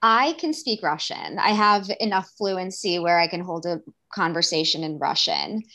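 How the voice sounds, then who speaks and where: A woman, speaking as a guest in a candid interview, earnest and animated, acting out bits of dialogue as she talks. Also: lowish voice, moderate pace. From New York. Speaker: guest, daughter and caregiver.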